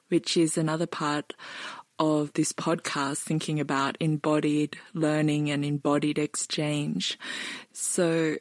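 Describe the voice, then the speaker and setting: A woman's voice: deep voice, no echo. A woman hosting an interview podcast in an articulate, thoughtful, reflective way. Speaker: podcast host.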